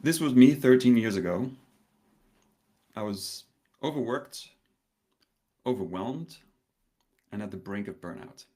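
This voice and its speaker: A man from Denmark speaks in a slightly low voice, giving a live talk over a video call. He tells a personal story carefully, with pauses and a hint of nerves. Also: animated, mellow. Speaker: conference speaker. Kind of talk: live online conference talk.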